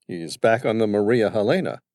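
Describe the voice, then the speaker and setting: A grown son who answers his father with dry wit and bluntness, read by a male narrator. The voice stays near a plain male talking tone with lively inflection. It is recorded dry and close. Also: fairly low pitch, fairly quick, no room sound. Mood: wry. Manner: wry, blunt.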